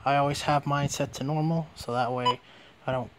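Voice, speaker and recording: A man's voice: mid-range voice, steady tone, normal speed, noisy background. A man giving a casual hands-on product demonstration as a reviewer.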